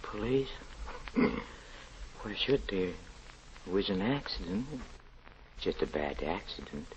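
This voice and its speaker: Deep-voiced sinister magician. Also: male voice, big pitch swings, exaggerated voice. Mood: sly, evasive. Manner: halting, hesitant, murmuring evasions. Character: sinister, evasive stage magician, wounded and guarded. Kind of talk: 1940s radio drama acting. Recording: noisy vintage radio recording.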